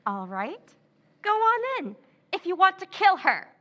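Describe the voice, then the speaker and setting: An actress playing a forceful, overbearing eldest sister in a radio drama, commanding and cutting. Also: female voice, very high voice, very animated, unhurried, highs cut off, heavily acted. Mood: cold. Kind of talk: 1940s radio acting.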